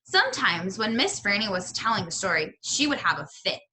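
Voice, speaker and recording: A woman reading a children's novel aloud like a teacher, with animated, expressive storytelling. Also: medium pitch, rough recording. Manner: animated storytelling with pauses to question listeners.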